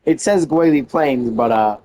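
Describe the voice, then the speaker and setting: A man's voice: mid-range voice, unhurried, dark tone, slight background noise. A man giving casual live gameplay commentary, musing aloud in loose, tentative phrases. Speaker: gamer playing and commenting.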